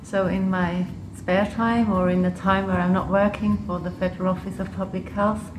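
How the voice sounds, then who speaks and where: English-accented woman, even pitch, roomy sound. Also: normal speed, noisy background. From Switzerland and Liechtenstein. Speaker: interview guest, public health official. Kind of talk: unscripted interview answers. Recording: roomy echo, music behind. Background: music.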